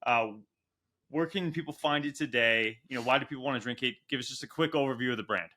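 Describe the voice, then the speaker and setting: A bright-voiced man hosts a podcast, firing off questions at a fast clip. His manner is loose and joking. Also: medium pitch. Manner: quick, joking interviewer. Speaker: podcast host. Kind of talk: casual interview questions.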